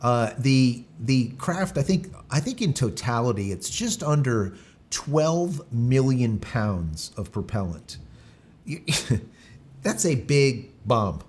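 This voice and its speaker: A man's voice: medium pitch, very animated, slow, a little mellow. A man hosting a live stream, chatting casually, rambling, with many fillers and restarts. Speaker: livestream host.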